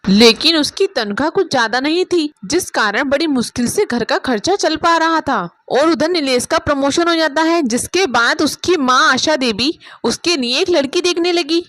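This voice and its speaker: High-pitched woman storyteller. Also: expressive, moderate pace, few pauses, fairly bright tone, no room sound. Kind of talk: scripted narration of a Hindi moral story.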